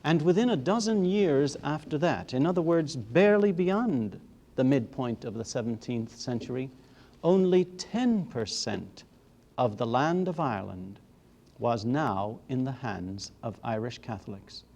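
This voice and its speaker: A man giving a formal history lecture in a measured, emphatic way, leaning on rhetorical repetition. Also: medium pitch, expressive, darkish tone.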